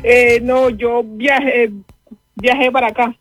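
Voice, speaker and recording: A woman's voice: on the high side, steady tone, takes it slowly, faint music behind. A woman in her thirties with a Colombian accent, chatting casually and politely as a caller being interviewed. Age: 30s. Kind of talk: casual chat.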